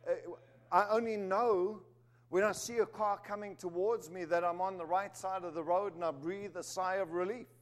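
A man's voice: high-pitched, steady tone, normal speed. Man preaching a sermon. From Zimbabwe, raised in South Africa. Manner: emphatic, rising to stress key words, with humorous asides.

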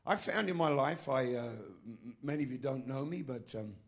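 A man's voice: medium pitch, lively intonation, moderate pace. A middle-aged man preaching a sermon as pastor of his church, with a non-American accent, emphatic and asking the congregation questions. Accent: foreign, not American.

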